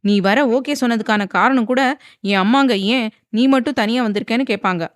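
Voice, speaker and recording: A woman voicing a fiery, defiant young wife who talks in a sharp, scolding way. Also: on the high side, a little fast, no room sound, clean studio sound, pitched above the performer's own voice, natural voice. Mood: furious.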